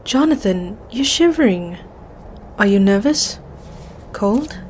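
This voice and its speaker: A woman's voice: mid-range voice, expressive, slow pace, a little mellow, a little hiss or hum. An actress playing a resolute, grieving Victorian woman in an audio drama, speaking formally and with determination. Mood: polite concern.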